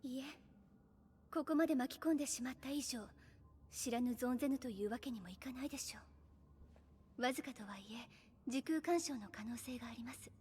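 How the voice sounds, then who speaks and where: Woman playing a high-voiced, genteel young noblewoman. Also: fairly quick, pauses often, a little mellow, slight background noise, lightly acted. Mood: composed, earnest. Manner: polite, genteel ladylike speech. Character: refined, composed young noblewoman. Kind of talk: Japanese anime game voice acting. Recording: music behind the voice.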